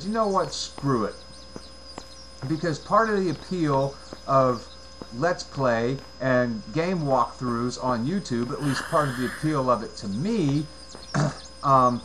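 A man in his late forties from the United States, talking through a video game in a rambling, halting way, with many fillers and repeated words, on a headset mic in a room at home. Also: mid-range voice, fairly bright tone, slight background noise, rough recording. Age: 40s. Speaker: let's play gamer. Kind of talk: casual gameplay commentary.

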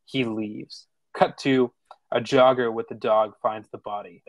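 A man reading screenplay stage directions as narrator, informal and slightly halting. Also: mid-range voice, steady tone, dry recording, rough recording. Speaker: narrator of a screenplay table read.

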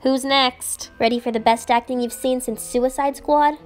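A woman's voice: high-pitched, steady tone, moderate pace, soft background music, close to an everyday voice. A young woman voicing a scheming, bratty thirteen-year-old girl who talks in a dramatic, sarcastic way, in a homemade doll drama. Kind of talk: hobby doll drama voice acting.

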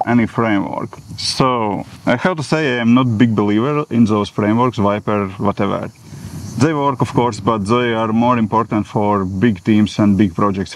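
Male vlogger, forties, Latvian accent, fairly low voice; casual, plain-spoken answers recorded on location with noticeable background ambience. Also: a little mellow. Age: 40s. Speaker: vlogger and iOS developer answering viewer questions. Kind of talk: casual question-and-answer vlog. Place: various travel locations, mostly outdoors, some stone halls and a cafe. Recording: clear ambient noise on location.

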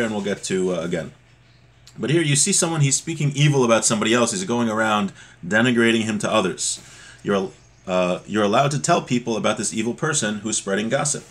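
A man in his thirties teaches religious law informally, explaining texts in a halting, repetitive way, recorded on a computer mic in a small room at home. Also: mid-range voice, fairly bright tone. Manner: halting, explanatory, repeats points. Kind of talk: informal text study, reading Hebrew passages and explaining them.

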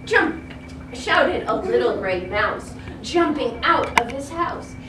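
Older woman reading aloud, very slow, animated. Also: a little high. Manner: theatrical, emphatic. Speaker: retired reading teacher. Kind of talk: expressive children's read-aloud. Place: school classroom. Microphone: distant camera. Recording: roomy, noisy classroom, distant mic.

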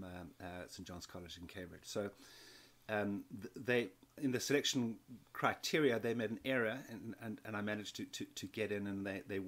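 A man in his fifties from South Africa, telling his story as an interview guest, with many restarts and repeated words. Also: on the low side. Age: 50s. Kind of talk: conversational interview.

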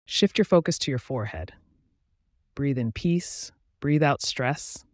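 A man guiding a body scan meditation, reading a script in a slow, soothing, even way with pauses. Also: medium pitch, no room sound, studio quality. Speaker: meditation guide.

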